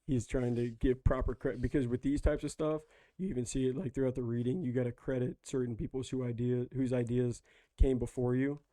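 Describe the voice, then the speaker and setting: A hoarse man chatting casually about a book with friends, rambling with lots of fillers. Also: mid-range voice, steady tone, brisk, warm tone, dry recording, poor audio. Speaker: book club host.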